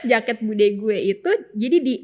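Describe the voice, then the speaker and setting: A young woman co-hosting a podcast, telling childhood stories in a casual, animated way and laughing often. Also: medium pitch, normal speed. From Indonesia. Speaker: podcast co-host. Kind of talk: casual chat. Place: podcast studio.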